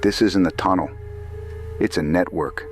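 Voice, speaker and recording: A man's voice: low voice, a little slow, faint music behind. A man playing a grave, terse investigator in a noir audio drama, speaking in clipped, serious phrases. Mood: grave, urgent.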